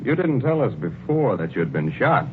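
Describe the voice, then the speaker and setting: An actor playing a plain-spoken, baffled police lieutenant in conversational 1940s radio drama style, on an old recording. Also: male voice, mid-range voice, sing-song, normal speed, natural voice. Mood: curious, puzzled. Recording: tinny old radio recording, faint noise.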